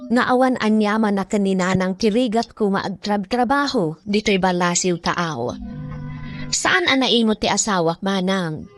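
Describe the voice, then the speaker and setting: A woman's voice: medium pitch, a little slow, faint music behind, natural voice. An actress playing a burdened woman who works abroad to support her family, confiding in a radio drama monologue. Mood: weary, confiding.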